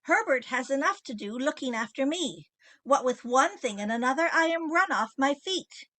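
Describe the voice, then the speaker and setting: An imperious older society mother from a comic radio play. Her voice is high and sharp, swinging dramatically as she looks down on everyone with disdain. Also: expressive, moderate pace. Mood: disapproving, haughty. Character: haughty, domineering older society mother, disapproving and melodramatic. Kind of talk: comic old-time radio play acting.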